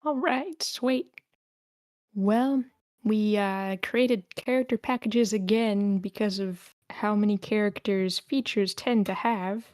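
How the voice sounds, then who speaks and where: A woman's voice: mid-range voice, fairly even intonation, slow pace, a little mellow, no echo, studio quality. A woman hosting an online group session, chatting casually as she organizes things. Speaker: host of an online script table read.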